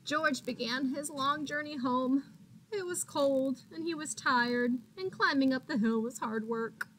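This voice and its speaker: A woman teacher reading a picture book aloud to young children, expressively, pausing to put questions to them and gasping for suspense. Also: high-pitched, slight background noise, poor audio. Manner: expressive, addresses children as friends, gasps for suspense.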